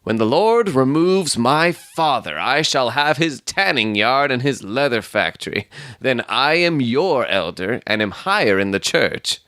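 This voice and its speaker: A man acts out a self-righteous young suitor from a storybook. The voice sits high and is full of pompous, pious entitlement. The sound is dry, with no room on it. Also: very animated, normal speed, pushed and bright, dry recording, heavily acted. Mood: boastful. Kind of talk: literary audio story reading.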